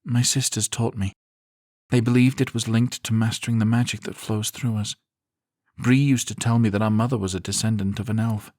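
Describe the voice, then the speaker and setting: A weary young woman with a soft, gentle way of speaking, played by a man reading the story. Her voice sits noticeably above the narrator's own. The sound is dry and close to the mic. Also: on the low side, steady tone, normal speed, no echo, voice pushed up, lightly acted. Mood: wistful. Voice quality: soft-spoken. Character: softly spoken young woman, weary and sad.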